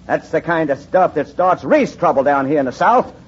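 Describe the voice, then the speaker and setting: A man's voice: on the high side, lively intonation, moderate pace, slightly rough. An actor playing a hostile, domineering male prosecutor in a 1940s radio drama, on a dull old broadcast recording. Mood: scheming. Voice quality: harsh, domineering.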